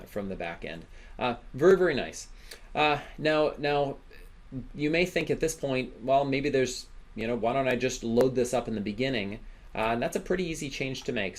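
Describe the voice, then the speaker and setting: A man giving a software tutorial as an instructor, thinking aloud casually and checking in with "right?". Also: medium pitch, creaky. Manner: casual, informal explaining, often ends phrases with "right?".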